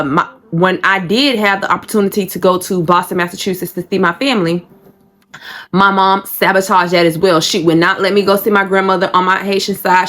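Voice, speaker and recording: A woman's voice: lowish voice, a bit bright. A woman in her thirties telling a painful personal story in a blunt, emotional way, swearing freely. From Georgia, USA. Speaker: vlogger.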